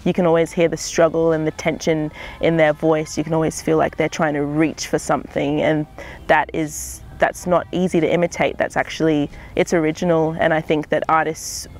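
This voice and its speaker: A young woman from Zimbabwe, a singer-songwriter, speaking reflectively in an interview, often pausing with "um" and "sort of". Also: deep voice, little pitch movement, darkish tone. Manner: thoughtful, hedging with frequent "um" and "sort of".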